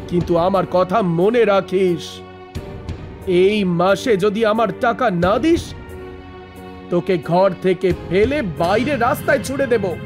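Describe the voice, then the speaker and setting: An actor playing a bullying, demanding moneylender in a cartoon, threatening and curt. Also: male voice, high voice, takes it slowly. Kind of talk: animated moral story dialogue. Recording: music under the voice.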